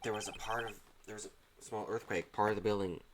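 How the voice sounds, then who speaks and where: Very low-voiced woman streaming a game. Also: fairly even intonation, a little fast, background noise. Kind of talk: reading game text aloud on a livestream.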